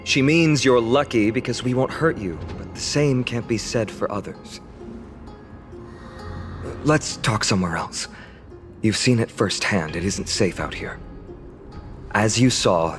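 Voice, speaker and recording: An actor playing an earnest, polite young hero in a game cutscene. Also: male voice, lowish voice, a little slow, over music, close to an everyday voice.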